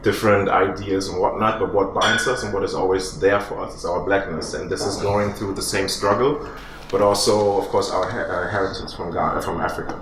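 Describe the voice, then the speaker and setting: Earnest, low-voiced man. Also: little pitch movement, fairly quick. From Cologne, Germany. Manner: thoughtful, earnest. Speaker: guest, community organizer. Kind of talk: casual chat. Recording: echoey room with background noise.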